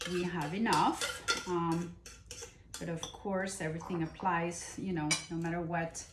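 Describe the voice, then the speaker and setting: A woman from France with a French accent hosts a cooking demonstration, talking with unhurried enthusiasm and many pauses and fillers. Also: lowish voice, heavy background noise. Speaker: cooking show host and nutrition coach. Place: kitchen. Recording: echoey kitchen room.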